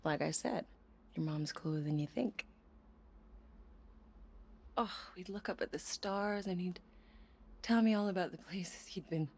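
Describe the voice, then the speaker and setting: A woman playing a warm, teasing mother of a teenage boy in a video game, with a husky, breathy voice. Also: lowish voice, unhurried, long pauses. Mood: fond, nostalgic. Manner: teasing, affectionate. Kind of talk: naturalistic video game acting.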